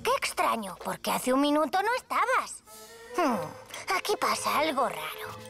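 A woman's voice: high voice, wildly swooping pitch, a little slow, gravelly, loud music behind the voice, heavily acted. A woman voicing a gloating boy villain inventor in a cartoon dub, mocking and theatrical.

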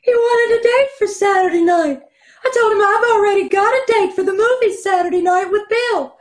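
A woman's voice: extremely high pitch, moderate pace. A middle-aged woman playing an airy, oblivious secretary in an old-time radio comedy, over a roomy video call. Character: ditzy, oblivious, self-absorbed female office secretary. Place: indoors at home on a video call.

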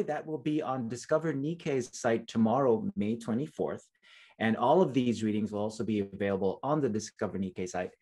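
A middle-aged man hosts an online celebration, talking straight to the viewers. His voice sits at an average pitch, and his presenting is informal and polite. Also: darkish tone. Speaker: emcee, host. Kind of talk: hosting a virtual awards event, speaking to viewers. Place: indoors, small room with plain backdrop.

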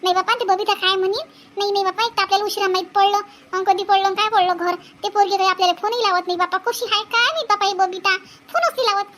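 A woman's voice: extremely high pitch, fast talker, fairly bright tone, a little hiss or hum. A woman playing a fretful old village mother-in-law in a comedy cartoon, rambling and repetitive, with a Vidarbha Marathi accent. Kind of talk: exaggerated cartoon family comedy.

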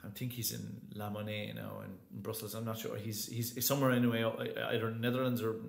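A man's voice: fairly low pitch, little pitch movement, brisk, creaky. Chatty man in a roomy echo. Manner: chatty, many restarts and fillers. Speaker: guest, opera singer. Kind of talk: casual interview talk.

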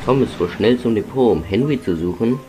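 A man's voice: fairly low pitch, expressive, brisk, dark tone, soft background music. A man reading scripted narration for a children's train story.

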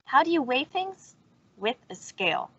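A woman's voice: sits high, big pitch swings, normal speed, a bit bright, slightly rough. A woman reading a children's science book aloud over a video call. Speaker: storytime reader. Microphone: video call microphone. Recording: dull, lo-fi video call audio.

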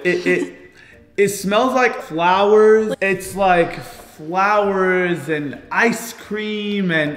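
A man in his late twenties bantering casually in a vlog, animated and slangy, often repeating himself. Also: high-pitched. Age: late 20s. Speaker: vlog host. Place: indoor kitchen bar area at home. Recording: echoey, reverberant room.

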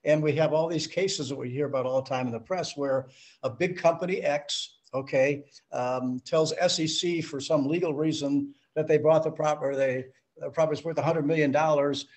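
Man, fairly high voice, host, halting, conversational, questioning. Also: darkish tone. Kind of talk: moderating a virtual town hall. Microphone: video call.

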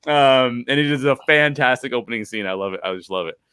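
A man's voice: mid-range voice, fast talker, pushed and bright, smooth, dry recording. A middle-aged man with an American accent, hosting a podcast in an enthusiastic, informal, conversational way into a close microphone. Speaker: podcast host. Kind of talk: conversational podcast introduction and film discussion. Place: bedroom at home. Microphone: close podcast microphone with headphones.

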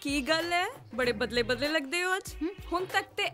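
A woman's voice: high voice, lively intonation, moderate pace, over music. An actress playing a haughty, sassy young college woman with a Punjabi accent, challenging others in a comedy film. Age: young adult.

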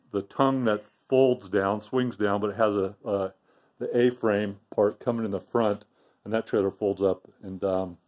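A man giving a casual, unscripted walkthrough of a home garage project, plain and unhurried with frequent restarts and fillers. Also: on the low side, darkish tone. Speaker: DIY video maker. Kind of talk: casual show-and-tell walkthrough. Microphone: handheld phone camera, speaker off screen.

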